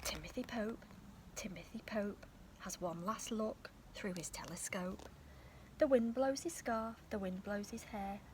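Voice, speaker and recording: A woman reading a children's picture book aloud outdoors in a park, in a soft, breathy storytelling voice with gasps and sudden shouts. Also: mid-range voice, unhurried, noisy background. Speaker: storybook reader.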